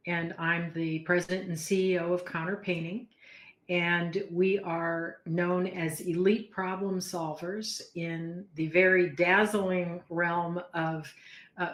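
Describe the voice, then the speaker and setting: A woman introducing herself as a company president, speaking in a measured, deliberate way. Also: on the low side, reverberant. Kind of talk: casual interview.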